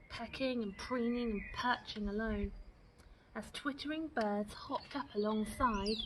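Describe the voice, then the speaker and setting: A woman reading a rhyming children's story aloud in an expressive, dramatic, deliberate storytelling style. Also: fairly high pitch, background noise. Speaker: storytime reader.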